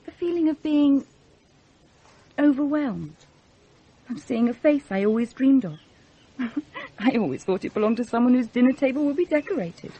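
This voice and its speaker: A woman's voice: high-pitched, animated, normal speed, long pauses, mellow, husky, exaggerated voice, poor audio. An actress playing a poised but insecure, romantic young upper-class Englishwoman in a radio play, hesitant and earnest. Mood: anxious, yearning. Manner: hesitant, self-conscious, earnest.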